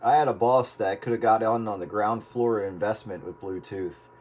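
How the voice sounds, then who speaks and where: Man, mature, fairly low voice, dry wry chat, noisy two-way radio. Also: normal speed, a little mellow. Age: middle-aged or older. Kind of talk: casual chat over radio.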